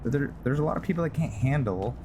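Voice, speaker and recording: A man's voice: mid-range voice, expressive, fast, background noise. A man casually commenting on his roleplay gameplay, musing aloud and rambling. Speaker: gaming channel host.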